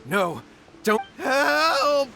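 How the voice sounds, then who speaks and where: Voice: high voice, sing-song, very slow, creaky, loud music behind the voice, full character voice. An actor playing a timid, panicky young village man in a video game, nervous and stammering. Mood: panicked, evasive.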